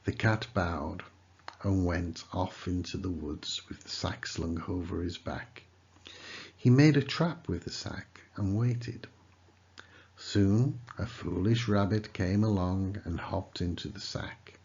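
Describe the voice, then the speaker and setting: A man reading a scripted children's story as narrator, in a deliberate, expressive storytelling manner. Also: low voice, slightly rough, dull audio.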